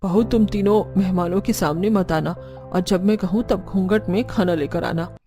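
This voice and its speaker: A woman's voice: medium pitch, normal speed, mellow, faint music behind, voice pushed down, natural voice. A woman playing a stern older Indian mother-in-law who scolds and bosses in a cartoon story. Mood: commanding. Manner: scolding, bossy. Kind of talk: Hindi moral cartoon story acting.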